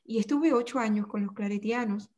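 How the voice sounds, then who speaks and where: A woman's voice: mid-range voice, a little fast, darkish tone. An older woman with a Puerto Rican Spanish accent, a guest in a remote interview, speaking reflectively and often restarting her phrases. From Puerto Rico.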